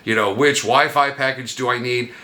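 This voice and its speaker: A man's voice: mid-range voice, fairly even intonation, normal speed, pushed and bright. A man hosting a vlog, explaining things casually and conversationally, sometimes asking himself questions aloud. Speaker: vlog host.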